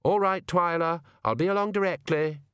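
A man's voice: on the high side, moderate pace, fairly bright tone, clear, resonant tone, no echo, voice pushed up, close to an everyday voice. Storybook governess read by a man, young woman, firm, controlled, dry wit, close unechoed sound. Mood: weary. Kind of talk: audiobook character voice.

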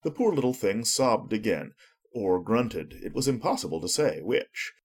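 Animated, husky male storybook narrator. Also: on the low side, normal speed. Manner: expressive storytelling.

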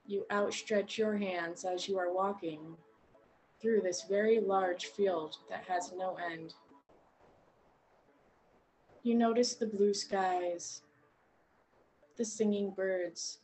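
A woman guiding a meditation in a gentle, unhurried way, leaving long pauses between short phrases. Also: mid-range voice, steady tone, a little hiss or hum, poor audio. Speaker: meditation guide. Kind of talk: guided meditation narration.

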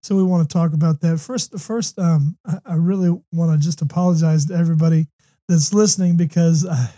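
A hoarse, slightly congested man from the United States hosting a faith talk show, speaking earnestly with many ums and restarts. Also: a little high, a little fast, warm tone. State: hoarse with a runny nose. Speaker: podcast host.

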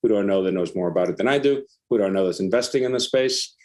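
A man's voice: fairly low pitch, very fast, darkish tone. A middle-aged man from Iceland talking casually as a startup founder and interview guest.

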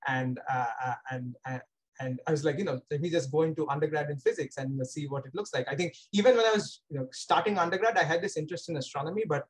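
Husky-voiced Indian man, rambling. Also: fairly high pitch, fast talker, rough recording. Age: young adult. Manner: rambling, many fillers and restarts. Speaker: guest astronomer. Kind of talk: casual interview talk.

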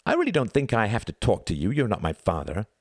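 A man's voice: fairly low pitch, wildly swooping pitch, quick pace, dry recording, studio quality, pitched above the performer's own voice. A man voicing a haughty, defiant young boy with pompous, precocious phrasing in a story reading. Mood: haughty, taunting.

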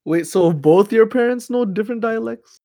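A man's voice: high voice, moderate pace, warm tone, no room sound, clean studio sound. A young man in his twenties co-hosting a podcast, chatting loosely with lots of slang and fillers. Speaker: podcast co-host. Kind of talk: casual chat.

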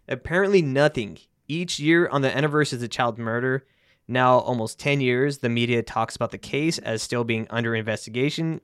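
A man's voice: mid-range voice, no echo, clean studio sound. Man reading on a podcast. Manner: reads quotes then jokes loosely with friends. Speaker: podcast host. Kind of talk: casual podcast chat, reading from a source.

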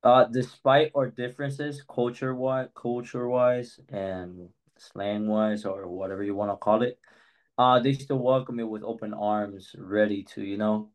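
A young man from Spain co-hosting a relaxed student talk show. His voice sits low, and he speaks earnestly and thoughtfully, pausing with fillers as he goes. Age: young adult. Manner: earnest, reflective, pauses with fillers. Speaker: co-host. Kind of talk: casual chat.

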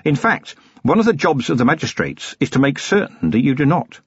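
A blunt, exasperated police commander, acted by a male narrator. He lectures forcefully in a husky voice with big swings of pitch, as in an audiobook. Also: big pitch swings, brisk, no room sound, dull audio. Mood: urgent, blunt.

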